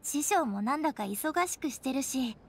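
A woman's voice: high voice, expressive, moderate pace. A woman voicing an earnest, eager teenage girl ranger in a video game, speaking plainly with slight hesitation. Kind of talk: Japanese game character acting. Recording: soft music behind the voice.

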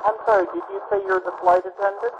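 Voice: mid-range voice, huge pitch swings, quick pace. An airline reservations call taker asking questions on an emergency phone call. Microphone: telephone. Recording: dull, noisy archival phone recording.

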